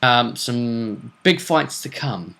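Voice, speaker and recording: A man hosting a tabletop role-play vidcast, casually discussing a fantasy tournament in a musing, deliberate way. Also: medium pitch, lively intonation, gravelly, a bit bright.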